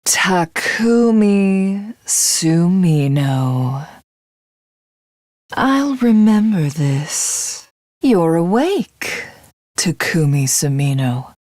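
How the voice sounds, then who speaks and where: Game villainess: proud alien supreme commander, imperious and measured, mid-pitched with animated intonation, unhurried delivery. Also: female voice, dry recording, studio quality, exaggerated voice. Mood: solemn, measured. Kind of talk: video game character voice acting.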